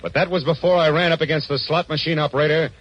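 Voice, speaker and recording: An American man acting a wry, hardboiled private detective in a 1940s radio drama, deadpan and clipped, on an old recording. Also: fairly high pitch, quick pace. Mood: ominous, wry. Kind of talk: 1940s radio drama acting, first-person noir narration. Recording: muffled old-time radio recording with music.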